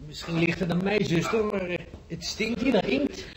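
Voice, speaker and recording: An actor playing a mild, inquisitive hospital patient in a radio play. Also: male voice, high-pitched, normal speed, close to an everyday voice. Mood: mildly curious. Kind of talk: Dutch radio play acting. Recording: distant, noisy old radio recording.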